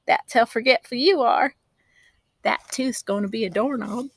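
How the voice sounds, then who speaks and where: A woman's voice: fairly high pitch, normal speed, no room sound, lightly acted. A woman voicing a smug, taunting little country sister from a children's storybook, snippy, teasing and sing-song.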